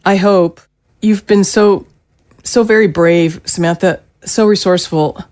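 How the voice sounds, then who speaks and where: Remorseful mother, woman actor, lower female register, halting and tender, audio-drama delivery. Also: fairly even intonation, darkish tone, lightly acted. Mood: tender, proud. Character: remorseful, tender mother, recently resurrected from the dead.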